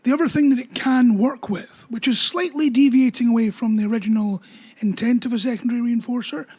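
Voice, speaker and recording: A man, a dog trainer explaining training ideas in a relaxed talk with everyday examples. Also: very high-pitched, fairly quick. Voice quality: husky voice.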